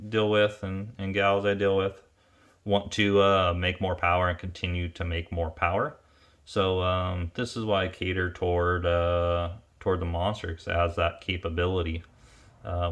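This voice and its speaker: A man with a low-pitched voice explains technical test results in an informal, off-the-cuff way. His delivery is halting, often pausing partway through a phrase as he works out what to say next. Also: fairly bright tone. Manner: halting, pauses mid-phrase while thinking. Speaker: ATV engine tuner and presenter. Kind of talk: informal technical product review, unscripted explanation.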